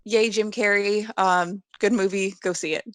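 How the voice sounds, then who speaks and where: A woman talks informally as a panelist on a movie podcast. Her pitch sits in the middle range for a woman, and the recording is dry with very little room sound. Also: steady tone, normal speed, no echo. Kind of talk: casual movie podcast chat.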